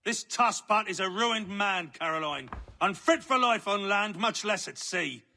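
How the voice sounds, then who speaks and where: A man's voice: sits high, lively intonation, unhurried, creaky, bright, forceful tone, exaggerated voice. A man playing a contemptuous, scolding older father in a video game drama. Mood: scornful. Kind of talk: cinematic video game acting.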